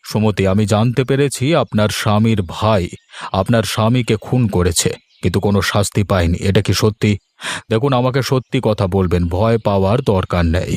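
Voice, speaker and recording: A man's voice: lowish voice, fairly quick, a little mellow, dry recording, natural voice. A man playing an authoritative, reassuring village headman in a cartoon moral story, with a paternal manner. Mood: earnest.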